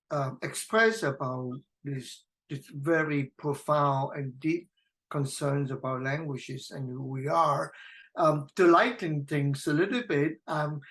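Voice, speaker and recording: A woman moderating a panel discussion, speaking in a measured, gentle and formal way. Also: deep voice.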